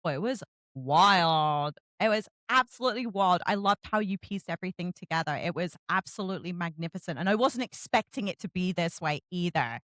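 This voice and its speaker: Female interviewer, highly animated intonation, dry sound, effusive casual chat style. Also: fairly quick, no echo. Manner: effusive, expressive. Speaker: interviewer, book show host.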